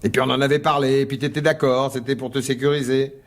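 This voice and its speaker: An actor playing a weary, placating husband in a radio mystery drama, colloquial and sighing, coaxing yet dismissive. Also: male voice, mid-range voice, little pitch movement, fast, natural voice. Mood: defensive.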